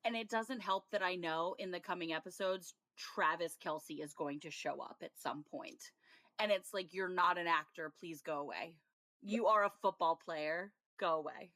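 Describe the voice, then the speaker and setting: A woman's voice: fairly low pitch, normal speed, a bit bright, no room sound. A woman co-hosting a podcast, chatting casually about TV episodes, laughing often and acting out her own reactions. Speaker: podcast co-host. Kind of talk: casual TV review chat.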